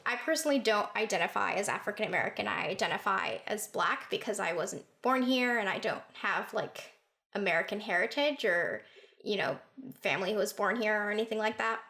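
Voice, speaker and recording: A woman's voice: medium pitch, a little fast, vocal fry, sharp tone. A woman from Sudan, co-hosting a podcast, talking earnestly in casual chat. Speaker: podcast co-host.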